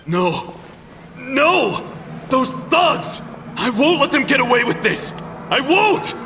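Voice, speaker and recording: A man's voice: medium pitch, sing-song, measured pace, bright, forceful tone, a little hiss or hum. A man voicing a young lawman with a carefree surface and a hot temper in an anime-style video game. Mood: furious, desperate.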